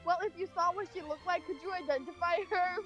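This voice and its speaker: A puzzled but firm schoolteacher, acted out by a woman telling a story, questions someone in a high voice. The manner is probing. Light music plays underneath. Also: fast, a bit bright, lo-fi sound. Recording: music behind the voice.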